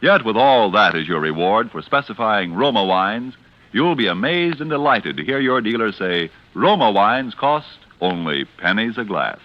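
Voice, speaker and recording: Male American sponsor announcer, very lively inflection, polished pitch, dull old-broadcast sound. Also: moderate pace. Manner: polished, emphatic salesmanship. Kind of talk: 1940s radio commercial announcing. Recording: dull vintage radio broadcast recording.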